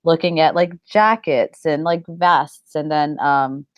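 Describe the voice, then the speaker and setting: A woman's voice: low voice, fairly even intonation, slow pace, dry recording, highs cut off. A middle-aged woman chatting casually in an interview, rambling informally with lots of "like" and "you know". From United States.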